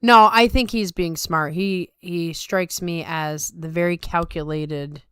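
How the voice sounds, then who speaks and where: A woman's voice: on the low side, steady tone, slow, a bit bright, smooth, dry recording, clean studio sound. A middle-aged woman co-hosting a sports talk show, giving casual, emphatic and colloquial commentary. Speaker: sumo talk show co-host. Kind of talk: casual chat with opinionated commentary.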